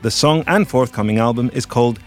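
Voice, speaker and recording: A man's voice: medium pitch, fairly quick. A man presenting a radio music show, reading scripted links with wry, dry asides. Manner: wry, dryly joking asides.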